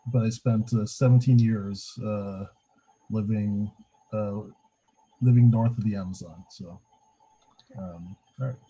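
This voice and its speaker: A man on a webinar panel speaks in a low, very dark, mellow voice. He talks haltingly, with many pauses and fillers. The sound is muffled and dull. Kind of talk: webinar self-introduction.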